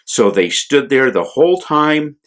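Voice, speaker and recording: An American man, a priest, telling a Bible story to children in simple words with deliberate repetition for emphasis. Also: medium pitch, big pitch swings. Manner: simple, deliberate explanations with repeated phrases for emphasis.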